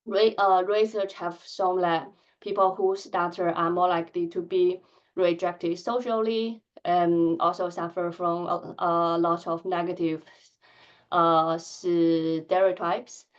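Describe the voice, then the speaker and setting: A woman in her thirties who stutters, giving a candid conference talk with blocks and filled pauses. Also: lowish voice, steady tone, muffled recording. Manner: soft-spoken, candid, measured. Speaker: conference speaker. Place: conference hall.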